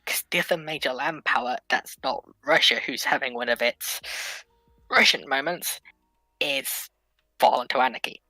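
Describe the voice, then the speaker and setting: A woman's voice: rumbling low, normal speed, sharp tone, no room sound, faint music behind, rough recording. A woman chatting casually and debating history as a co-panelist in a discussion.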